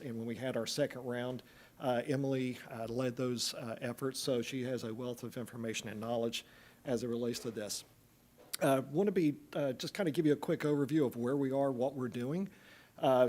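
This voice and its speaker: A man's voice: medium pitch, darkish tone. A man with an East Tennessee accent, a planning consultant giving a chatty, joking update at a public meeting, with many fillers.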